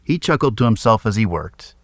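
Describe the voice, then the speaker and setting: A man reads a children's story aloud as narrator. His delivery is expressive and dramatic, with lively swings in pitch, and the recording is dry and close. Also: a little fast, dry recording.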